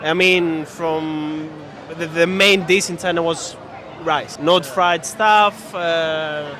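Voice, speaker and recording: A man's voice: fairly high pitch, fairly even intonation, takes it slowly, bright, forceful tone. A man from Greece, a tourist, answering hesitantly and searching for words. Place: city street outdoors.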